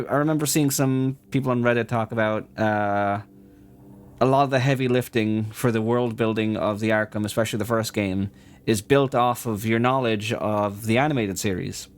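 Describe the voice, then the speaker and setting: A man giving casual, rambling gameplay commentary as a video host, halting as he thinks aloud. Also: lowish voice. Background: faint music.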